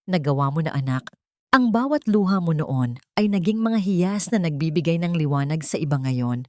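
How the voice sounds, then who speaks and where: A woman narrator voicing a proud, elderly motherly figure in a story, speaking softly and tenderly. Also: low-pitched, lively intonation, normal speed, no echo. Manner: soft, murmuring, tender.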